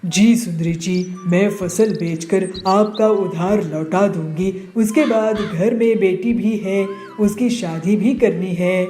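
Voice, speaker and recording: A woman's voice: mid-range voice, slow pace, few pauses, warm tone, echoey room, soft background music, natural voice. A woman voicing a humble poor farmer bird. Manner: humble, pleading.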